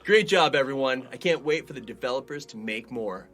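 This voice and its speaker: A man co-hosting a podcast in casual, jokey banter, quick to laugh. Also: mid-range voice. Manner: animated, jokey, laughs easily. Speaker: podcast co-host, voice actor. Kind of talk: casual banter, reading listener reviews.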